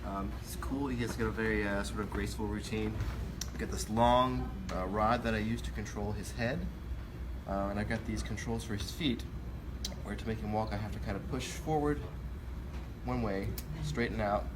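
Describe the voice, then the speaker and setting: Man, puppeteer, lowish pitch, informal step-by-step demonstration, far from the mic. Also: fairly even intonation, moderate pace. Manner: explaining step by step while handling a puppet. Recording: distant mic, loud background noise.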